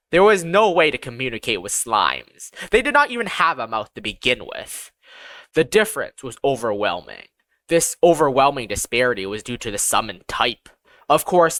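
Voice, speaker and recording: Give this story to an audiobook narrator with a medium-pitched, bright voice and very animated intonation. The reading is scripted first-person storytelling, with pitch that rises and falls freely. The sound is close and dry, with little room on it. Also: no room sound.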